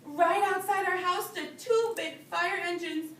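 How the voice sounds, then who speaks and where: A high-pitched woman reads a children's story aloud in the manner of a classroom teacher, stopping to explain it simply. She is some way from the microphone in a roomy, echoing space, with a little background noise. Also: unhurried, fairly bright tone, distant mic. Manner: simple explanatory phrasing, addressing small children. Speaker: teacher reading a picture book aloud to young children. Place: indoor classroom.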